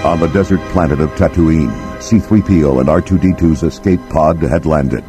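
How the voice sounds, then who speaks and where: A man's voice: very deep voice, moderate pace, over music. A man narrating a dramatic scripted storybook as its narrator.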